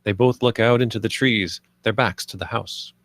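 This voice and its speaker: A man's voice: fairly low pitch, fairly even intonation, normal speed, fairly bright tone, no room sound. A man reading stage directions as the narrator of a screenplay table read.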